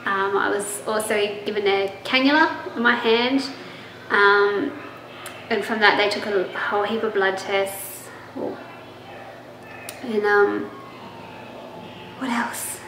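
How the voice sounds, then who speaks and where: Young woman chatting slowly about her pregnancy, voice going a bit, lots of ums, echoey room with faint hiss. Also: mid-range voice, steady tone, sharp tone. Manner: chatty, many ums and restarts, laughs easily. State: losing her voice. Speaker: pregnancy vlogger. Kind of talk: casual personal update.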